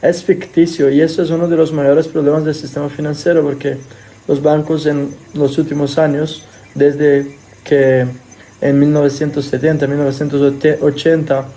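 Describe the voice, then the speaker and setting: A man with a fairly high but mellow, soft-edged voice talks through money matters in an easy conversational way. Spanish is not his first language, so he now and then stalls to hunt for a word. He builds his points through examples. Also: level tone, moderate pace. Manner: non-native Spanish speaker, sometimes searching for words; thoughtful, explains with examples. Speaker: podcast co-host. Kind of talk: casual discussion.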